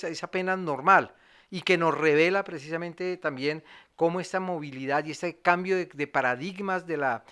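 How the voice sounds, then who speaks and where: Male theatre commentator, fairly high and very bright voice, discursive and reflective, seeks agreement. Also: clean tone. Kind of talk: reflective spoken analysis.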